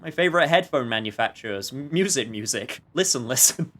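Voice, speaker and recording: A man's voice: mid-range voice, expressive, fairly quick, a bit bright. A man livestreaming a game with casual, rambling commentary full of jokey asides.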